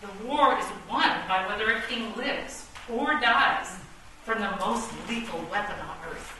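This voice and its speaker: A woman's voice: fairly high pitch, animated, measured pace, sharp tone, recorded from a distance. A woman playing an opinionated, poetic chess piece with an emphatic delivery in a comic radio play. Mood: assertive. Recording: echoey, noisy stage recording from afar.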